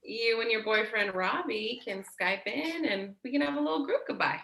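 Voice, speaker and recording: A woman's voice: a little high, moderate pace, vocal fry, a bit bright. A woman acting a blunt, teasing, protective young woman in a staged play reading, with casual, sweary, sardonic delivery. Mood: protective, prodding. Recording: roomy, echoey sound.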